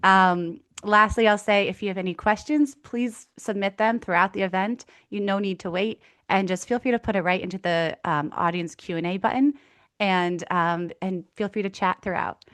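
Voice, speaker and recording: A woman's voice: medium pitch, moderate pace, fairly bright tone, no echo. A woman hosting an online event, polished and welcoming, with the odd filler. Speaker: event host.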